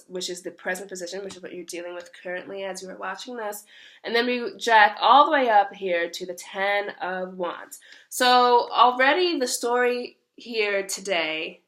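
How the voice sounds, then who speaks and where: A young woman with a hoarse voice from a cold gives a chatty, rambling tarot reading full of fillers. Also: mid-range voice, sharp tone. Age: young adult. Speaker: tarot reader. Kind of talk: casual monthly tarot reading.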